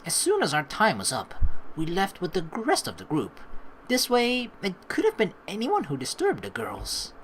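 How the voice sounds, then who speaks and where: A woman's voice: mid-range voice, wildly swooping pitch, moderate pace, a little hiss or hum, low-quality recording. A young woman hosting a let's play, teasing and slangy as she mixes casual commentary with reading the game's narration aloud. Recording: faint game music behind the voice.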